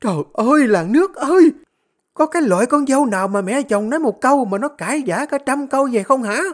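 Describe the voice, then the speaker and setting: A woman doing a shrill, cruel old mother-in-law. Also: expressive, a little fast. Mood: wailing. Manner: screeching, insulting tirades. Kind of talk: audio story reading.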